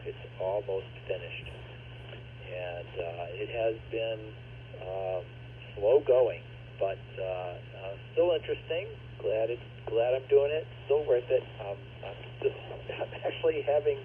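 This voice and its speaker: A man checking in on a ham radio net, talking in a relaxed, agreeable way with many fillers, heard over a radio transmission. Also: mid-range voice. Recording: phone-like ham radio transmission with faint noise.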